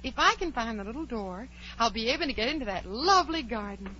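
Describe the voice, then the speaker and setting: A woman's voice: a little high, expressive, quick pace, close to an everyday voice. A woman playing a curious, chatty, plucky young girl who wonders aloud in a 1940s radio drama. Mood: puzzled, polite. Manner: wondering aloud, exclaiming, polite. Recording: dull, hissy 1940s radio recording.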